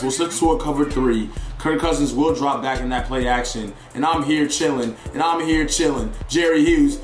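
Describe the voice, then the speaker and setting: A young man with a raspy, sore voice giving excited live commentary on a football video game and talking to his viewers. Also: medium pitch, echoey room. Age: young adult. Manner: excitable, hypes plays, chatty with viewers. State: hoarse, sore voice. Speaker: gaming YouTuber.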